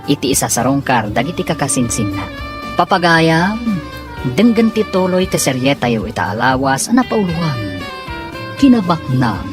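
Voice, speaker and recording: Brief: woman narrator for a radio serial, low and deep, very lively melody, music playing beneath. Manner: announcing, formal and measured. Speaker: radio drama narrator and announcer. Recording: music bed under the voice.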